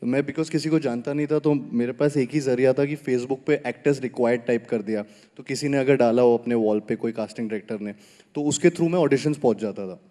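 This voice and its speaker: Man, film actor guest, mellow voice, chatty, live event hall with long reverb. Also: mid-range voice, brisk. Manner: relaxed, chatty, switching into dramatic monologue delivery. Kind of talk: on-stage interview at a live event.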